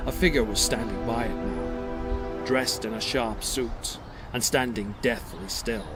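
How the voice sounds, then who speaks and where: A man giving dramatic scripted narration for an audio drama, measured and suspenseful. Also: medium pitch.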